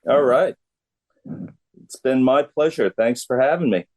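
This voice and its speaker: A man with a medium-pitched voice and a slow, unhurried pace. He chats casually as the guest on an interview show. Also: darkish tone. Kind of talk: casual chat.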